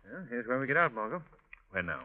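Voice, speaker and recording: An actor playing an amused, wealthy young gentleman in an old radio drama. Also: mid-range voice, sing-song, fast talker, lightly acted. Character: urbane, amused, wealthy young man about town. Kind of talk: 1940s radio drama acting. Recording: tinny, noisy old radio recording.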